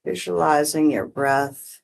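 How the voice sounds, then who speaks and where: A meditation teacher guiding breath and visualization in short, gently permissive phrases with long pauses. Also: medium pitch, fairly even intonation, creaky, slight background noise, rough recording. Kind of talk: guided meditation, unhurried instruction.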